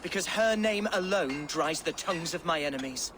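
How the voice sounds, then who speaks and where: High, animated young nobleman, played by a man. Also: moderate pace, background noise, natural voice. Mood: earnest, grave. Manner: florid, wordy, apologetic. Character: boisterous young nobleman, eager amateur poet, earnest and flustered. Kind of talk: video game character acting.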